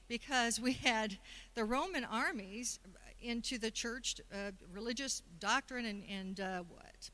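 Bright-voiced woman giving a Sunday talk. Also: a little high. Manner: conversational, asks the congregation questions. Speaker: speaker at a Sunday service. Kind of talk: sermon talk.

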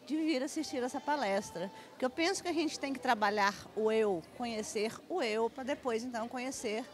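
A woman speaking thoughtfully and measured in an event interview, into a handheld reporter mic. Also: fairly high pitch, soft background music.

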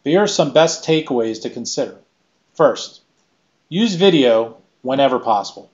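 A middle-aged man giving a scripted keynote presentation in a measured, list-by-list delivery, recorded on a webcam in a small room. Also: mid-range voice, expressive, a little mellow, lo-fi sound. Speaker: keynote speaker.

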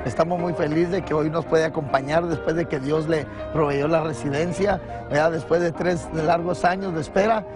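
A man's voice: a little high, steady tone, normal speed. A middle-aged man, a pastor, answering an interview question outdoors. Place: outdoors among a group of children. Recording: music and crowd behind voice.

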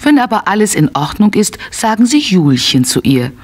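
A woman storyteller with a husky edge and a middle-register voice. She narrates a children's audio play expressively, in simple phrases meant for small listeners. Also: mid-range voice, sing-song, moderate pace, darkish tone.

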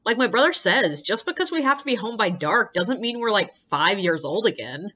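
Woman as a bright, animated, snarky schoolgirl. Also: mid-range voice, normal speed, lo-fi sound. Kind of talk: audio play acting.

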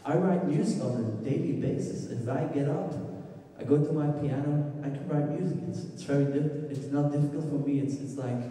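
A man in his thirties from Belgium talks to an audience in accented English, stumbling and restarting as he searches for words. His voice is dark and mellow. It rings through a large reverberant hall, picked up from a distance. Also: fairly high pitch, fairly quick. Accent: Belgian Dutch. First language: Dutch. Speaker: musician giving a talk. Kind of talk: presentation talk on stage. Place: large dark auditorium stage. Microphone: handheld microphone. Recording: echoey hall, recorded from afar.

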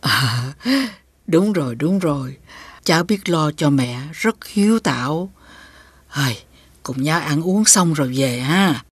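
Cartoon granny, husky and low, doting and kind, dry close sound. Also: female voice, animated, slow pace, dry recording, exaggerated voice. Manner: warm, doting speech. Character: kindly old grandmother.

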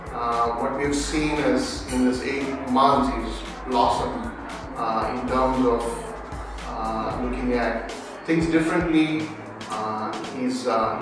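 A man in his forties giving a seated parent testimonial, speaking hesitantly with many fillers. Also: medium pitch. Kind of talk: interview testimonial. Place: indoor studio set, seated. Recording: echoey room, distant mic, music behind.

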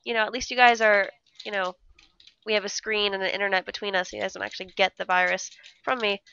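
A woman's voice: mid-range voice, little pitch movement, fast, creaky, pushed and bright, dull audio, a little hiss or hum. A young woman co-hosting a casual live stream, upbeat and enthusiastic, sounding stuffy from a cold, on a headset mic. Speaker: co-host of a game dev stream.

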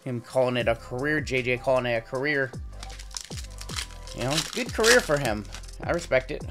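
A man in his thirties with a sore throat and a slightly raspy voice, chatting casually through a pack opening. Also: medium pitch, normal speed, music in the background. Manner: relaxed, chatty running commentary with frequent "uh"s. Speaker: card-breaking channel host. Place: indoor home studio with logo backdrop.

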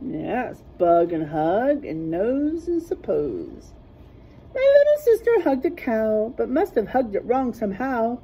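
A middle-aged woman reading a rhyming picture book aloud in a teacherly way, pausing to point out word pairs. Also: a little high, very animated, dark tone, breathy edge. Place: small classroom, indoors.

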